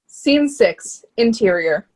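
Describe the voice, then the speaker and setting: A woman's voice: on the high side, lively intonation, slow. A woman narrating a script, reading scene headings and stage directions.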